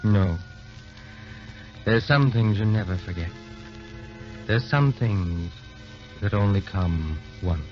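An actor playing a devoted, elderly husband, feeble and forgetful, in vintage radio drama style. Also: male voice, fairly low pitch, expressive, takes it slowly, long pauses. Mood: tender, wistful. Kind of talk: 1930s radio drama acting. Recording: dull vintage radio recording with music.

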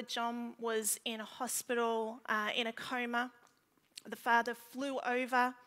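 An Australian woman in her thirties giving an earnest, measured personal talk on a stage through a headset mic. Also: fairly high pitch, a bit bright. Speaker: keynote speaker, photographic digital artist. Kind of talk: prepared stage presentation, personal storytelling. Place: large indoor theatre stage.

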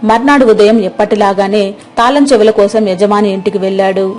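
A woman's voice: mid-range voice, fairly quick, a little mellow. A woman narrating a scripted moral story as the storyteller.

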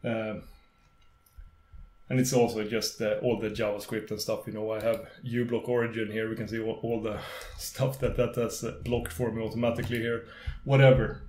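A young man whose first language is Swedish, casually talking through a screen-recorded software tutorial, rambling with many fillers and restarts. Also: lowish voice, little pitch movement, normal speed, echoey room, a little hiss or hum. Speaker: tech video host.

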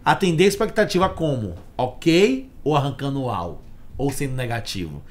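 A man's voice: mid-range voice, very animated, normal speed. A Brazilian man around forty hosting a conversational podcast, explaining points by asking and answering his own questions into a desk microphone. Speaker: podcast host, accountant. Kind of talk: conversational business podcast talk. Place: indoor meeting room with wood panelling. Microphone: desk microphone on stand.

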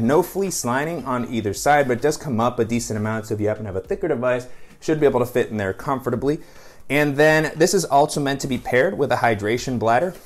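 An American man in his thirties narrating a product review in a casual, conversational and explanatory way. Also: mid-range voice.

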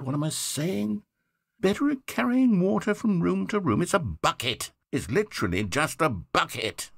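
An actor playing an omniscient game narrator, wry and theatrical. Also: male voice, full character voice. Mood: flustered. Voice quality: crisp measured delivery, very animated pitch. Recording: dry close studio voice.

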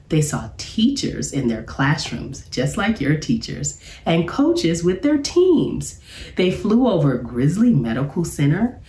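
A middle-aged woman, a teacher, reading aloud to children with expressive, careful enunciation in an echoey room. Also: sits low. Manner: expressive, deliberate enunciation, addressing young listeners. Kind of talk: children's read-aloud storytelling. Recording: roomy, echoey sound.

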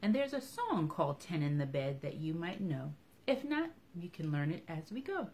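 A woman's voice: on the low side, big pitch swings, moderate pace, a little mellow, slight background noise. A woman hosting a storytime for young children, talking to them in simple, instructive phrases. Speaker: children's library storytime host.

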